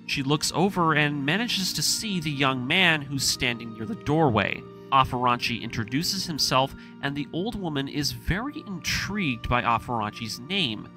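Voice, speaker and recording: A man reading a scripted story recap as narrator, telling it in an animated, present-tense storytelling manner. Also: fairly high pitch.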